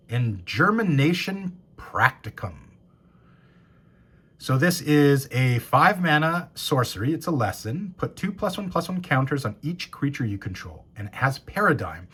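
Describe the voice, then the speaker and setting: A hoarse, raspy man in his thirties, a game store owner, chatting casually and excitedly as he opens packs and reads out cards. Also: on the high side. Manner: enthusiastic, reads card names and rules aloud. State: hoarse after a cold. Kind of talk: casual box-opening commentary.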